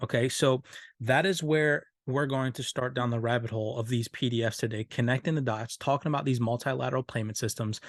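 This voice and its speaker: A man's voice: medium pitch, no room sound. A male host breaks down a finance clip for his viewers, leaning on stock phrases and stressing key words. His voice sits in an ordinary middle range. The sound is dry and close, with no room around it. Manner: emphatic, repeats stock phrases. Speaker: crypto channel host. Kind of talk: video breakdown commentary.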